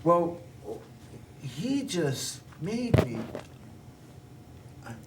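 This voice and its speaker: A man's voice: high voice, sing-song, very slow, distant mic, very noisy. A man hosting a small local TV show, telling a personal story in a rambling, casual way with many restarts. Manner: rambling, with frequent restarts and laughs.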